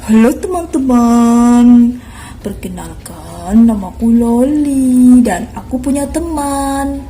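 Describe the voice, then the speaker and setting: A woman's voice: fairly high pitch, lively intonation, dark tone, faint music behind. Loli, a woman doing a patient little girl. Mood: explaining. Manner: explains slowly and carefully, like a lesson. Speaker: kindergarten teacher. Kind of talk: children's storytelling skit.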